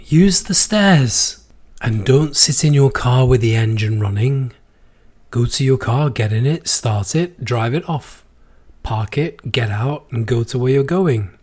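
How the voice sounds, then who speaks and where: A sick man hosts his solo podcast, his voice strained and worn from a cold. He reads a poem at a slow, deliberate pace. He also rants with strong opinions about habits he finds illogical. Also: medium pitch, animated, darkish tone. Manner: slow deliberate reading, then opinionated ranting. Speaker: podcast host.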